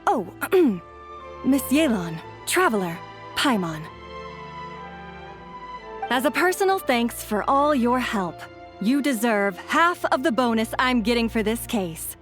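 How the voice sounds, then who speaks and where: An actress playing an earnest, dutiful young policewoman in a video game, with a crisp official manner. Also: fairly high pitch, big pitch swings, slow, pauses often, faint music behind. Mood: relieved, dutiful. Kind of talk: dramatic game voice acting.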